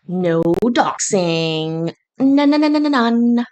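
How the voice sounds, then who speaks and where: A woman's voice: a little high, very animated, extremely slow, darkish tone. A woman born in Scotland hosting a casual livestream from her kitchen, chatty and joking with a sarcastic streak. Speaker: livestream host. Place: home kitchen.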